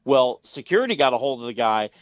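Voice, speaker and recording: A man's voice: medium pitch, sing-song, fast talker, no echo. Chatty male entertainment reporter, slightly dull audio. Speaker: entertainment reporter, radio guest.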